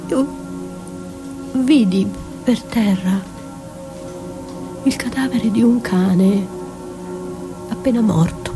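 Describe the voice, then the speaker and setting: An actress playing a battered, dying woman in a poetic radio monologue, halting and stammering, repeating words and dropping into whispers. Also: mid-range voice. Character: battered, dying woman left in rubbish, dazed, poetic, darkly ironic. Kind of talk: theatrical monologue performed for radio.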